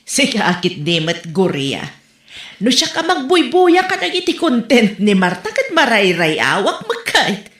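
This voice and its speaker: A woman's voice: fairly high pitch, huge pitch swings, a little slow, a bit bright, full character voice. A woman with an Ilocano Filipino accent playing a chiding, protective mother in a comedy audio drama. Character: chiding mother who defends a mocked vlogger. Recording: roomy, echoing home recording.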